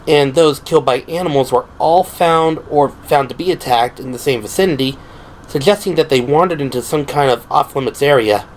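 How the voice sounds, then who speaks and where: A man voicing a composed, earnest young woman officer in an audio drama, giving a measured, analytical briefing. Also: on the high side, a little hiss or hum, pitched above the performer's own voice, natural voice, poor audio.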